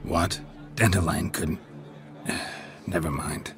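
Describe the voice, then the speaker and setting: An American man playing a stoic, weary monster hunter in a video game, terse and dryly sardonic in a low gravelly murmur. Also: slow, a little hiss or hum, full character voice. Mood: wry, deadpan. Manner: terse, deadpan.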